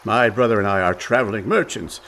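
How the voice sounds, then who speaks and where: An actor playing a breezy, smooth-talking con man older brother with a glib, theatrical manner in a comic radio play. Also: lowish voice, a little fast, fairly bright tone, slight background noise. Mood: glib, persuasive.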